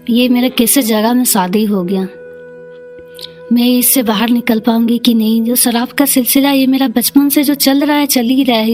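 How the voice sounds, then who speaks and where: A woman with an eastern Indian regional Hindi accent telling her personal story in a plain-spoken, halting, colloquial way. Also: fairly high pitch, a little mellow. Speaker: community leader, podcast guest.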